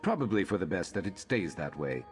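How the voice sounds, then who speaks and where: An actor playing a gruff, sardonic lizard-man mercenary in a fantasy video game, with a dry, deadpan delivery. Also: low voice, a little fast, faint music behind. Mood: somber, resolute. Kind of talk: video game character dialogue.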